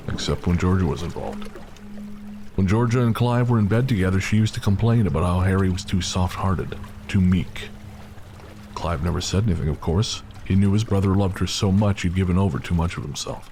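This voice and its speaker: Very deep-voiced male storyteller over noise. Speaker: narrator. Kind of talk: scripted audio drama narration.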